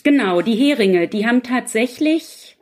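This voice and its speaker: A woman's voice: fairly high pitch, animated, moderate pace. A woman speaking as a guest author in a casual conversation, chatty and self-deprecating. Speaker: guest author and quiz writer. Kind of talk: casual chat.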